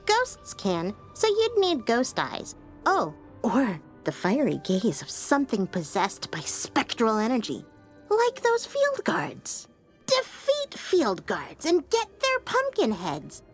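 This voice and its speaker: A woman voicing a quirky, excitable, scatterbrained know-it-all in a video game, rambling with exaggerated emphasis. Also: a little high, slow, soft background music, exaggerated voice. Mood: quirky, excited. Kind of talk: video game character acting.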